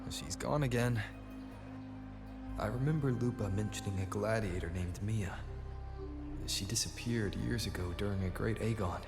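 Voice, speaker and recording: An actor playing a calm, thoughtful wanderer investigating a mystery in a video game, measured and reflective, with an echo on the voice. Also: male voice, on the low side, vocal fry. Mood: pensive. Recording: echoey voice over music.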